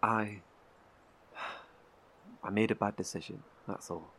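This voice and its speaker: Man acting a low-voiced, wary, bitter survivor. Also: fairly even intonation, slow, creaky, sharp tone, breathy edge, exaggerated voice. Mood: regretful. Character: wary, guilt-ridden male survivor, bitter and defensive. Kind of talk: audio drama dialogue.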